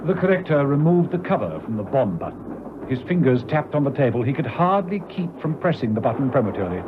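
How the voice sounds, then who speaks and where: A man's voice: medium pitch, big pitch swings, fairly quick, natural voice. A man narrating a spy radio serial in an urgent, dramatic storytelling manner. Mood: suspenseful. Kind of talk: scripted suspense radio narration. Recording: muffled old radio, loud train noise.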